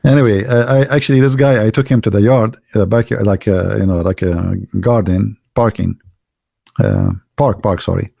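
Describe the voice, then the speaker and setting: Male streamer, Arabic native, mellow creaky voice, animated casual storyteller, non-native grammar. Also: lowish voice, normal speed, no echo, studio quality. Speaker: livestream host. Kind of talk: casual anecdotes and chat with live viewers.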